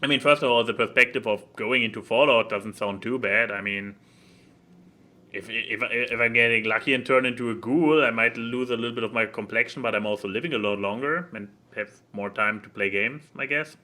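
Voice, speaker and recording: A man's voice: mid-range voice, brisk, pushed and bright. A man co-hosting a casual podcast over an online voice call, with a jokey, irreverent manner. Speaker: podcast co-host.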